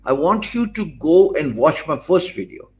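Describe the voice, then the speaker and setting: A man's voice: fairly high pitch, sing-song, darkish tone. A Pakistani man, English not his first language, giving an earnest video lesson from a small home office with many hesitant fillers. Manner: earnest and measured, many "uh" fillers and restarts, gestures with his hands. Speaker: online business coach. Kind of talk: talking-head video lesson. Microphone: stand mic close in front, webcam framing.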